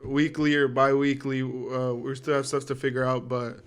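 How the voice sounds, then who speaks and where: A man's voice: mid-range voice, flat delivery, moderate pace, smooth, soft background music. Young man, slangy casual podcast talk. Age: 20s. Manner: informal, slangy. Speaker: podcast co-host. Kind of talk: casual chat.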